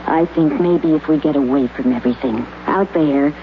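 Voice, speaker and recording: A woman's voice: sits low, moderate pace, a little hiss or hum. An actress playing a world-weary woman who mocks and then pleads, in 1950s radio drama style. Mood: pleading. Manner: teasing, mocking, then pleading. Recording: dull lo-fi old radio recording.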